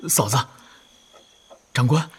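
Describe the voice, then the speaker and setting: An actor playing an earnest, deferential, homesick soldier in a radio drama, with emotive delivery. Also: male voice, slow, lightly acted. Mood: warm, playful. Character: earnest, homesick younger male soldier, deferential to his superior. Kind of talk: Chinese radio drama acting.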